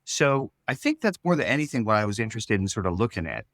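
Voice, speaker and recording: Male writer interviewee, fairly low pitch, dry recording, reflective, hesitant conversational delivery. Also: very animated, fast, fairly bright tone, no room sound. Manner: hesitant, reflective, restarting phrases. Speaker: writer-creator interviewed about his show. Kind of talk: casual interview chat.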